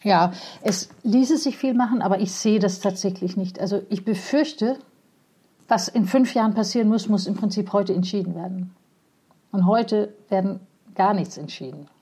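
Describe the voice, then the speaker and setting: A woman guest speaking about cycling and city traffic in a casual interview, thoughtful and frank, pausing often with fillers. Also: medium pitch, mellow, husky.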